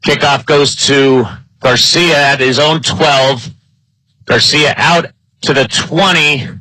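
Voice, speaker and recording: A man's voice: mid-range voice, slow, bright, forceful tone, no room sound, low-quality recording. A man with an American accent doing live play-by-play commentary of an American football game. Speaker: play-by-play sports announcer.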